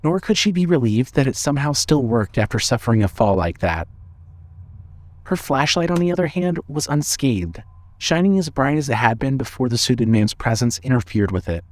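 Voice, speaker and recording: A male narrator reads scripted horror fiction in a dramatic style. His voice sits at a medium pitch. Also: a little mellow.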